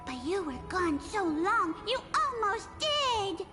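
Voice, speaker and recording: Small playful forest sprite: extremely high, swooping game voice, excitable, heavy reverb over music. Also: female voice, slow pace, exaggerated voice. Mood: mock hurt. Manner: melodramatic, excitable. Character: small playful fairy-like forest creature, childlike and eager. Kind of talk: video game character acting. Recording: long hall reverb, music underneath.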